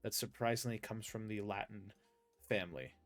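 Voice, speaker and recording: A young American man hosting a casual interview, rambling with lots of restarts and getting animated when he tells a story. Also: lowish voice, moderate pace, faint music behind. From United States. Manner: rambling, many fillers and restarts, animated when telling stories. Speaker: podcast host.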